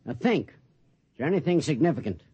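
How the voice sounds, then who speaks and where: A man's voice: on the high side, very animated, moderate pace, mellow, breathy edge, dry recording, dull audio, heavily acted. A man playing a commanding, gnarled security officer, curt and authoritative, in a 1950s radio drama. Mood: curt, demanding.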